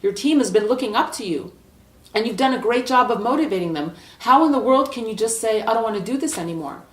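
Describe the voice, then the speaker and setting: A woman's voice: on the high side, animated, fast talker, natural voice. Paternal, hot-tempered male football coach, acted out by a woman storyteller, pressing with questions, echoey distant recording. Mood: outraged. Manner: pleading, repeated rhetorical questions. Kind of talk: acted dialogue in a spoken story. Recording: echoey room, distant from mic.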